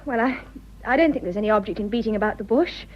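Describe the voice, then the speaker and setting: A woman playing a resolute, high-voiced young English wife. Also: fast talker, dull audio, close to an everyday voice. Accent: British upper-class RP. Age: 20s. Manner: earnest, composed, direct. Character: resolute, frank young upper-class wife of twenty-five. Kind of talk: period radio drama acting.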